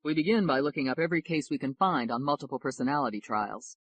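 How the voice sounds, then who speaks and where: A woman voicing a modest, earnest young male lawyer, speaking in a quiet, measured way. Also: deep voice, animated.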